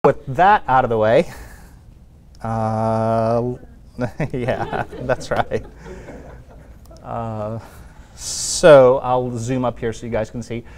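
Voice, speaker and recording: A man's voice: mid-range voice, steady tone, extremely slow, pauses often, darkish tone, a little hiss or hum. A man speaking as a guest at a tech meetup, loose and chatty with lots of fillers, on a clip-on mic in a room with an audience. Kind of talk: casual presentation. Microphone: clip-on lapel mic.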